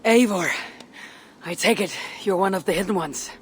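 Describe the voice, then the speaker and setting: A woman's voice: mid-range voice, normal speed, close to an everyday voice. Actress doing a blunt viking warrior woman, suspicious, game cutscene, bit of background noise. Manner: terse, blunt, challenging. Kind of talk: video game cutscene dialogue.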